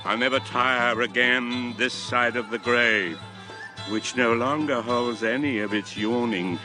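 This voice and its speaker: A man's voice: mid-range voice, measured pace, music in the background, lightly acted. An actor playing an old former king and grandfather, a solemn, impassioned convert to a god, in a classical radio drama. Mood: ecstatic. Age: old, past retirement. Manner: solemn, impassioned, theatrical delivery.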